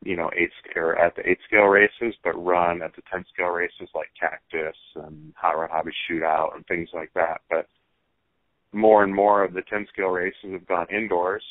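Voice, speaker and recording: A man talking casually as a racer being interviewed over the phone, pausing often as he thinks through his answers. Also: sits low, flat delivery, no room sound. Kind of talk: casual phone interview about hobby racing. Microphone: telephone. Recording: tinny, band-limited phone line.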